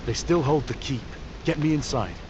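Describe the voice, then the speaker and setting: An actor playing a stoic fantasy warrior in a video game, curt and understated. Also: male voice, medium pitch, normal speed, noisy background, natural voice. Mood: determined. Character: terse, stoic fantasy warrior on a covert mission. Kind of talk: video game character acting. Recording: dull audio under music.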